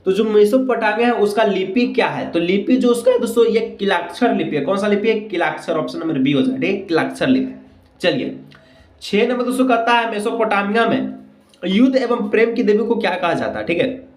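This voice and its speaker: A man's voice: high voice, expressive, fairly quick. A man teaching exam questions and answers, repeating himself and asking rhetorical questions as he goes. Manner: repetitive, frequent check phrases and rhetorical questions. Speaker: teacher, exam-prep video host. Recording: echoey room sound.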